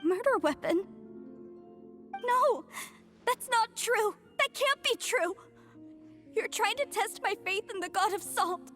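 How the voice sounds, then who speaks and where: A woman's voice: very high-pitched, expressive, slow pace, long pauses, fairly bright tone, faint music behind, heavily acted. A woman voicing a fervent, defiant young believer who speaks earnestly and emotionally in a video game. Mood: outraged.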